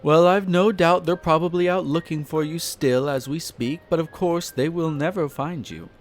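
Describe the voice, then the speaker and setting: A man playing a possessive, flirtatious vampire master in a roleplay audio drama, speaking formally and condescendingly with theatrical pauses. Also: on the high side, fairly even intonation, close to an everyday voice. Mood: coldly condescending. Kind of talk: scripted roleplay audio drama, addressing the listener. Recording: music behind the voice. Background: background music.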